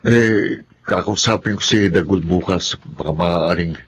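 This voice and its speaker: A man's voice: low-pitched, a little slow, a little hiss or hum. A man acting in a Filipino drama as a poor, humble husband who is apologetic and weighed down by guilt. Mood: apologetic, weary.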